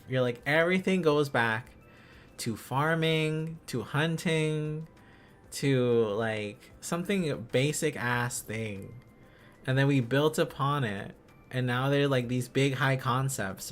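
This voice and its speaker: A man's voice: medium pitch, fairly bright tone. A man hosting a livestream, chatting casually in an enthusiastic, rambling, giggly manner. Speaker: livestream host. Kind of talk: casual livestream chat while learning Korean script.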